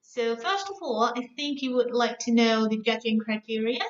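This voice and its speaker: A woman's voice: on the high side, steady tone, normal speed. Woman judge, orderly explanatory address to contestants. Echoey room, slightly dull, muffled recording. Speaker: competition judge. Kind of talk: prepared announcement to contestants.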